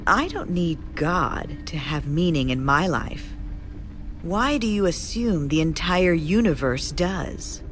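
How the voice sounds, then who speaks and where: Low, slow, defiant vengeful woman. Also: animated, slight background noise. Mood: defiant, bitter. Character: defiant, vengeful, ambitious woman challenging God. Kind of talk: sci-fi audio drama dialogue.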